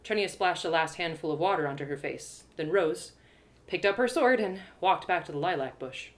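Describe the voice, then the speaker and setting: A woman's voice: on the low side, animated, moderate pace. A woman storyteller reading scripted first-person fantasy narration expressively. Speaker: storyteller, author reading live.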